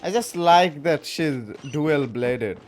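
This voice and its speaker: A man's voice: fairly high pitch, slow pace. A man giving casual commentary as he plays a video game. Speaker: gaming streamer. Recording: game music behind the voice.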